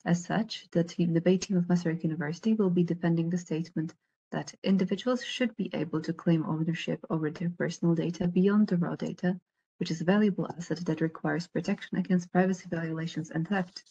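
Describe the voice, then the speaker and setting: A woman speaking as the host of a debate tournament, making formal announcements over a video call with a husky voice. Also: fairly low pitch, fairly even intonation, a little fast, mellow, lo-fi sound.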